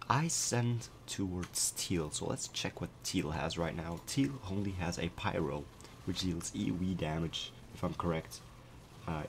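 Young man, very low strained voice, cracking, laid-back game explainer, faint hiss behind. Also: creaky. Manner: relaxed, explanatory, many fillers. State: voice cracking after a concert. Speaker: gameplay commentator. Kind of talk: casual gameplay commentary.

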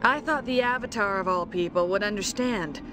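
A woman plays a young cartoon villainess, proud and determined. She speaks in a measured, threatening way at a middling pitch, with dramatic music behind her. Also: natural voice. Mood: persuasive, grave. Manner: measured, menacing. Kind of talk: cartoon game voice acting.

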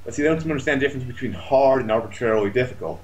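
A man's voice: medium pitch, rapid-fire, darkish tone, highs cut off, a little hiss or hum. A man chatting casually about a video game, complaining in a sardonic, foul-mouthed way.

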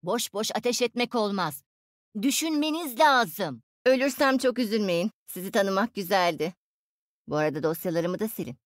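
An actress voicing a smug, playful young female tech genius in a video game, expressive and teasing. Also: medium pitch, normal speed, no echo, clean studio sound, natural voice. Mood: teasing, confident.

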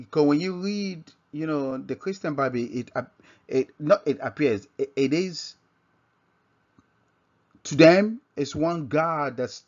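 A man with a Nigerian accent giving an informal scripture lesson as a religious teacher, halting and often repeating and rephrasing himself. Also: on the high side. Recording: dull, lo-fi microphone sound.

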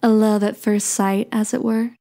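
A woman playing a playful, sweet young daughter of Cupid in an ASMR romance roleplay, coy and gently teasing. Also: medium pitch, little pitch movement, normal speed, close to an everyday voice. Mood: warm, playful.

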